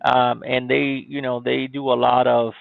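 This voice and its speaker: A man's voice: mid-range voice, little pitch movement, measured pace, vocal fry, dry recording. A man, politely explaining his own story as a podcast interview guest. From born in Cuba, grew up in Miami, United States. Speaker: podcast guest, IT company CEO. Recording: thin, phone-like call audio.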